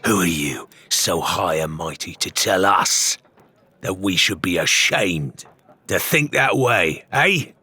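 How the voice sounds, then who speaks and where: A man's voice: low-pitched, lively intonation, slow, sharp tone, breathy edge, dry recording, exaggerated voice. A man voicing a haughty, scornful pirate who sneers at a rival in an audiobook. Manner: sneering, declamatory. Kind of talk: audiobook character voice.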